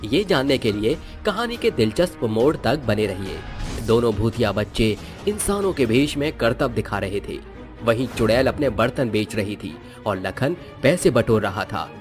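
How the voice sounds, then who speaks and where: A man narrating a scripted fantasy story in an expressive storytelling manner. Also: mid-range voice, moderate pace. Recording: music under the voice. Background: music.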